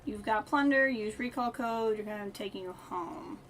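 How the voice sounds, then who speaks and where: A woman's voice: mid-range voice, normal speed, low-quality recording. A woman doing casual live-stream game commentary, chatting offhand and muttering asides to herself. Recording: keyboard clatter, faint noise.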